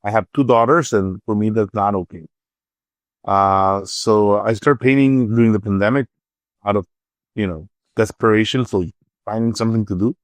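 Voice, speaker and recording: A man's voice: fairly low pitch, normal speed, no echo. A middle-aged man with a Salvadoran accent answering interview questions conversationally, often repeating words as he searches for phrasing. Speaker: interview guest, artist and entrepreneur.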